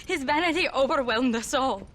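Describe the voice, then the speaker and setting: A woman's voice: sits high, animated, moderate pace, a bit bright. An actress playing a fearful, bitter young woman in a dramatic video game scene. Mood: nervous, bitter. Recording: faint music behind dialogue.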